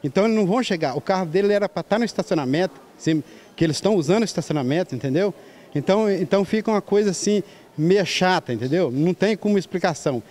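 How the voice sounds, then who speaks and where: Brazilian male market vendor, forties, rapid and slightly high voice, colloquial interview style full of tag questions. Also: animated, darkish tone. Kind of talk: street interview, informal complaint. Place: large open-sided market hall. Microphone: handheld reporter microphone.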